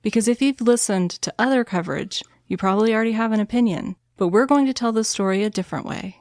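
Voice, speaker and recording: A woman's voice: on the high side, a little mellow, dry recording, clean studio sound. Soothing-voiced woman hosting a podcast. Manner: soothing, measured delivery. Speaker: podcast host. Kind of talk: scripted true crime narration and chat.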